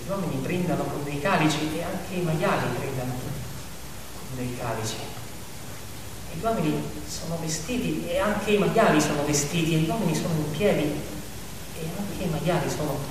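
An actor playing a somber storyteller, narrating a bleak animal fable theatrically in repetitive phrases with weighty pauses. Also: male voice, fairly high pitch, close to an everyday voice. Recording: echoey hall, distant mic, faint hiss.

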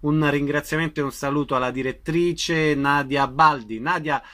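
Bright, higher-pitched male interviewer. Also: normal speed. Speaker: radio interviewer. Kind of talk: broadcast interview with scripted introduction.

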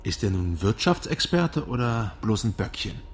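A man's voice: fairly low pitch, sing-song, normal speed, a little hiss or hum. An actor playing a laconic, probing male private detective, understated, in a radio crime drama. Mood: casual coaxing.

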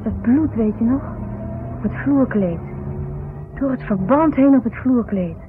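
A woman's voice: a little high, animated, over music. An actress playing an irritable, defensive married woman, bickering in the style of 1970s radio drama. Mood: troubled, puzzled. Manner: bickering, exasperated, quick to retort. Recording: noisy narrow-band 1970s radio drama recording.

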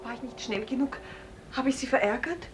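High, servile secretary, played by a woman. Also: fairly quick, a little hiss or hum, natural voice. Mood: anxious, meek. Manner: deferential, eager. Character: servile, anxious secretary eager to please her boss. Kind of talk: 1950s German radio play acting.

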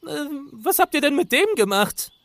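A woman's voice: sits high, lively intonation, normal speed, gravelly, dry recording. A woman voicing a boastful little male gnome warlock in a parody audio drama, talking in a pompous, whiny way.

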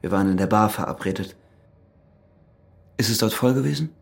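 Low, controlled, calculating man. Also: fairly quick, vocal fry. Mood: calmly instructing. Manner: controlled, insistent, matter-of-fact. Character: cool, calculating ex-convict who has killed. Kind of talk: radio crime drama acting.

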